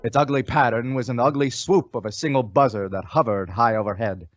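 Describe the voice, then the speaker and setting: A man narrating a western in theatrical old-time radio style, in a measured way. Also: mid-range voice, dry recording, lo-fi sound. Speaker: radio drama announcer and narrator.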